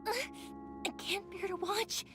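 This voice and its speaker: A woman voicing a small, excitable, easily frightened fairy companion in a video game, exclaiming dramatically in a childlike squeak. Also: a little slow. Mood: anxious, curious. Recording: game music behind the voice.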